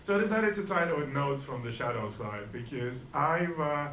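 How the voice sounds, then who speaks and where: A man's voice: a little high, animated, normal speed, fairly bright tone, reverberant, slight background noise. Dry, self-deprecating man in his forties. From Estonia. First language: Russian and Estonian. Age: 40s. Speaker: stand-up comedian. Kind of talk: stand-up comedy set.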